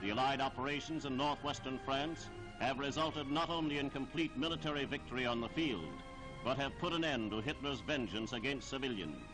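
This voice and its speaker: A man reading scripted 1940s newsreel narration in a formal, declamatory, measured delivery. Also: mid-range voice, rough recording. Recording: 1940s archival newsreel soundtrack with music.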